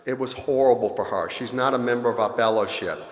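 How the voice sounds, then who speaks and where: A man's voice: mid-range voice, a little fast. A man of fifty giving an earnest, confessional recovery talk at a convention. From Louisiana. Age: 50s. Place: large convention hall. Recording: echoey hall audio.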